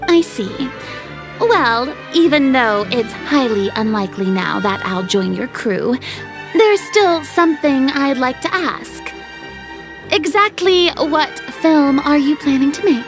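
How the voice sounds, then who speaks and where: A woman's voice: sits high, expressive, slow, over music, full character voice. A woman playing a proud, theatrical young star turned film director in a video game, haughty and self-important. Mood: proud, curious.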